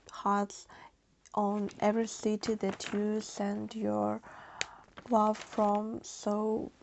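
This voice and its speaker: Slow, gravelly Ukrainian-accented woman in her thirties. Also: on the high side, dark tone, dull audio. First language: Russian. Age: 30s. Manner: slow, halting, with many pauses and fillers; earnest and grateful. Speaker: vlogger. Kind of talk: unscripted personal address to camera.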